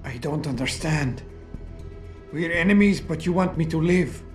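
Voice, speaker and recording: A defeated, educated doctor in a game cutscene, played by a man. His fairly high male voice is brooding, deliberate and heavy with guilt, with faint music behind it. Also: close to an everyday voice. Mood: resigned. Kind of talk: dramatic video game cutscene acting.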